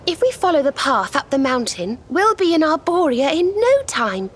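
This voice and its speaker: A woman voicing a kind, polite young female healer in a video game, speaking softly with courteous, slightly old-fashioned phrasing. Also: high voice, sing-song, moderate pace, slight background noise. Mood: gentle. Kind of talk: video game cutscene dialogue. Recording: game score playing underneath.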